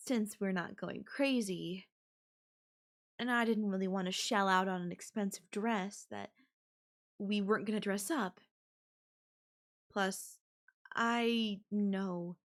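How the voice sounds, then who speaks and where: A woman playing an obsessive, menacing yandere girlfriend in an intimate audio roleplay, speaking to her captive lover with condescending, coaxing sweetness. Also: mid-range voice. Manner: sweetly condescending, coaxing, threatening, with lingering pauses.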